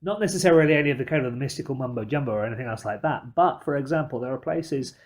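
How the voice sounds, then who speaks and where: A man's voice: mid-range voice, very fast. Wordy, analytical man on a podcast. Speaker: podcast host. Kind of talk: film and game discussion.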